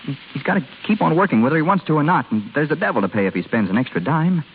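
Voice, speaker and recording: Fast, swooping proud young husband. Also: male voice, on the high side, very animated. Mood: frustrated, defiant. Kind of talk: 1930s radio drama acting. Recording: hissy, tinny old radio recording.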